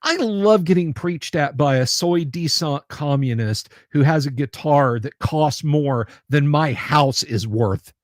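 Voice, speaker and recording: Guy rambling slow on his stream, repeats himself, sarcastic, kinda high voice, dry close mic. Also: dry recording. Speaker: livestream host. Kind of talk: casual chat reading viewer comments.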